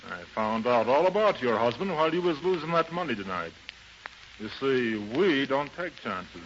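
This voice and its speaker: A man's voice: mid-range voice, animated, moderate pace, natural voice. An actor playing a pushy, hard-boiled gambling-house henchman in a 1930s radio drama, with slangy American speech. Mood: menacing. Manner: slangy, tough-talking. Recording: dull, noisy 1930s radio recording.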